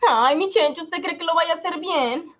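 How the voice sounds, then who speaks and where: A man's voice: very high voice, moderate pace, sharp tone, highs cut off, voice pushed up. A man voicing a fretful, doubting wife who whines and scolds fondly in a comic radio drama. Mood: worried. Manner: whining, scolding, affectionate diminutives.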